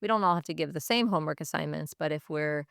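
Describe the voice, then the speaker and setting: Woman, low register, touch of vocal fry, thoughtful interviewee, restarts and repeats phrases, educator explaining her practice. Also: fast, a bit bright, no room sound, clean studio sound. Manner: thoughtful, stop-and-start phrasing with repetition. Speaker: teacher and language department head, podcast guest. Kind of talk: reflective interview answers.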